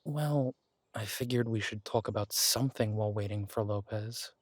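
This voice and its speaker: A man voicing a protective male werewolf detective in an audio drama, speaking in a gentle, reassuring, unhurried way. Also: on the low side, no room sound, close to an everyday voice. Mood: warm, chatty. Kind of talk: romantic audio drama roleplay.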